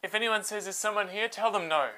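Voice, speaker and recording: A woman's voice: medium pitch, fast, bright, forceful tone, natural voice. A woman voicing an exhausted, bossy male army general on the run in a children's Bible story. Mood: weary orders.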